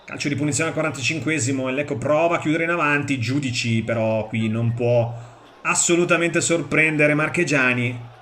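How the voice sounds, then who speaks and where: Higher-voiced man commentating football highlights. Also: a little fast, fairly bright tone, a little hiss or hum.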